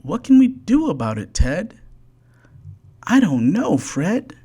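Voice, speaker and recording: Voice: mid-range voice, big pitch swings, slow pace, dark tone, breathy edge, voice pushed up, exaggerated voice. A storyteller voicing a friendly, chatty male dog from a picture book, with exaggerated sing-song delivery. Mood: puzzled.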